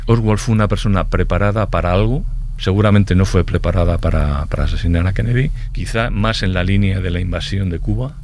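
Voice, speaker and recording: A male expert guest talks in a fairly low voice. He explains spontaneously and emphatically, repeating his points. A faint hum sits under the recording. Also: darkish tone.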